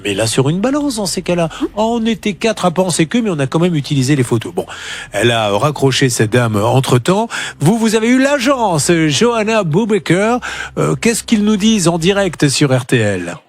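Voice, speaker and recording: A man hosting a live consumer-help radio show, animated and joking, talking through a head cold with a runny nose. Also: a little high. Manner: animated, quick to interrupt, joking. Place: radio studio.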